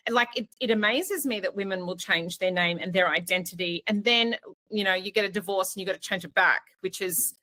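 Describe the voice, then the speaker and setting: A middle-aged woman chatting casually in an expressive, effusive way. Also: medium pitch, a little fast, no echo. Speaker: podcast participant, former journalist. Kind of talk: casual conversation.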